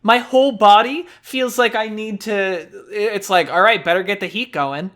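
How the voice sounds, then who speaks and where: A man co-hosting a podcast in casual chat, rambling along with stammered restarts and quick laughs. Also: high-pitched, fairly bright tone. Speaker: podcast co-host.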